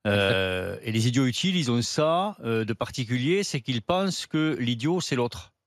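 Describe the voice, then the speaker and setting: A man on a TV debate panel speaks in a measured, sardonic, lecturing way. His voice sits in a middle pitch. The sound is dry and close to the mic. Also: animated, no room sound. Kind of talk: TV debate commentary.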